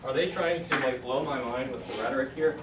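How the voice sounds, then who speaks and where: A man's voice: mid-range voice, fairly quick. A young man teaching a Greek class in a casual, conversational way, recorded by a far camera in a church meeting room. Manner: casual, conversational, many fillers. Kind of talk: classroom lecture. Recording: echoey room from far off, noisy.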